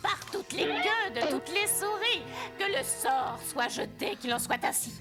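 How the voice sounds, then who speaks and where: A vengeful cartoon mouse queen with a very high voice. She is grandiose and mocking, swinging theatrically up and down over a clear music bed. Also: animated, unhurried. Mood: vengeful, menacing. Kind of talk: animated film voice acting.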